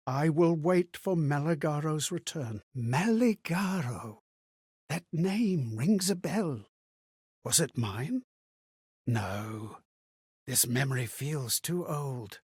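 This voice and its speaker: A husky, somewhat high-voiced man speaks as a troubled, amnesiac wanderer in a fantasy video game. His delivery is dramatic and measured, with an anxious sense of wonder. The recording is dry, with very little room around the voice. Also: no room sound. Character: anxious, amnesiac male wanderer reliving strangers' memories. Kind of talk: theatrical video game character monologue.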